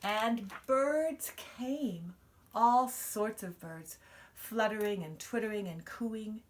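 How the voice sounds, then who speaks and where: A woman reading a children's picture book aloud, expressively, with dramatic pauses and emphasis. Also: medium pitch. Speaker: storybook reader.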